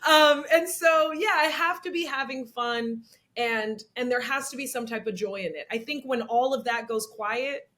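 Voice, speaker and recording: A young adult woman with a slightly raspy voice, speaking candidly in an interview, animated and laughing often as she acts out what people said. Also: moderate pace. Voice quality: slightly raspy, low-set voice. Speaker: actress and comedian, interview guest.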